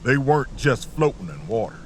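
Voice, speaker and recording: A man playing a grave, watchful lone traveler, narrating an audio drama in deliberate, ominous, terse phrases. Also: medium pitch, very animated, slight background noise, lightly acted. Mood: tense, hushed awe.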